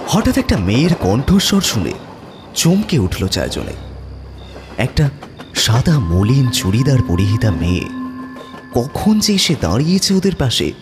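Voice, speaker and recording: A man's voice: mid-range voice, huge pitch swings, unhurried, long pauses, music in the background. A man narrating a scripted horror story as a dramatic storyteller.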